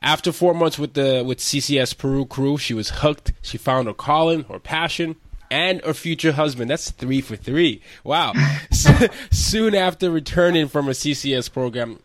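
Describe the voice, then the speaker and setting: A man's voice: on the high side, moderate pace, no echo. A man hosting an interview podcast, chatty and hesitant, with fillers and restarts. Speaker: podcast host. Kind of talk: casual interview chat.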